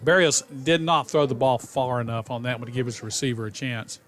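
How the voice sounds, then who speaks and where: A man giving conversational, opinionated color commentary on a high school football radio broadcast. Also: fairly bright tone. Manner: conversational, opinionated, quick to react.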